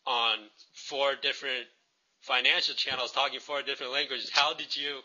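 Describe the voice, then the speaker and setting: A man with a very bright, forceful voice hosts an interview. He asks his questions in an easygoing, chatty way. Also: medium pitch, level tone, moderate pace, poor audio. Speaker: interviewer, host. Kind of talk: friendly interview.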